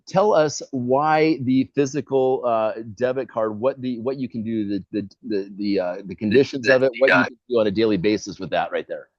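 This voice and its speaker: A man's voice: mid-range voice, normal speed, few pauses, dry recording. A man hosting a casual video-call interview, talking enthusiastically with lots of filler sounds. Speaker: host. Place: indoors, Bali. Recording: dull lo-fi video call audio.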